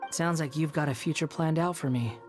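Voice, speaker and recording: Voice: medium pitch, fairly quick, lightly acted. An actor plays a sardonic young male wanderer in a game cutscene. He is aloof and curt, proud and brooding, with dry delivery over faint music. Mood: wry. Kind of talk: video game cutscene acting.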